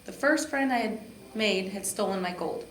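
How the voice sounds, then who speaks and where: Woman, children's story narration, expressive, medium pitch, roomy echo, faint background noise. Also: moderate pace. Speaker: park district program supervisor reading to children. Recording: echoey room with background noise.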